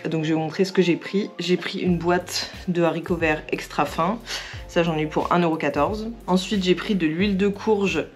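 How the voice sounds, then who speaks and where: A hoarse young woman from France chatting casually in a home vlog, with many fillers and little laughs. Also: fairly low pitch, steady tone, moderate pace, soft background music. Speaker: vlogger. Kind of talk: casual daily vlog chat to camera.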